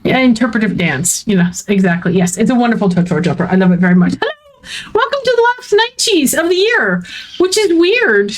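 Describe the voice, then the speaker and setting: High voiced lady on a stream, rambly and wry, just chatting. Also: very animated, a little fast. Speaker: livestream co-host. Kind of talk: casual livestream chat.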